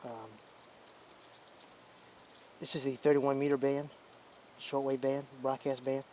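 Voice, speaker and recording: A man's voice: medium pitch, level tone, slow, warm tone, slight background noise. A man presenting as a radio hobbyist, giving casual hands-on demonstration commentary.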